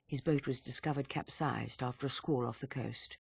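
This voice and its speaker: A man playing a grave senior intelligence chief in a video game cutscene, speaking in a formal, measured, authoritative way with a husky voice. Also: medium pitch, fairly even intonation, no echo, clean studio sound. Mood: grave, businesslike.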